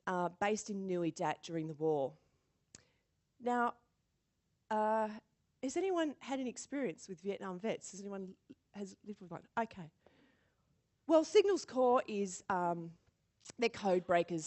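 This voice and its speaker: A woman giving a motivational keynote speech as an animated storyteller who acts out quotes and laughs often. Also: medium pitch. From born in Singapore. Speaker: keynote speaker.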